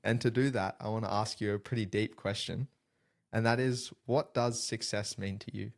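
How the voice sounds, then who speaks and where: A man hosting a podcast, posing questions in a measured, scene-setting way. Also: lowish voice, fairly even intonation, vocal fry. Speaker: podcast host. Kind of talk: interview questions.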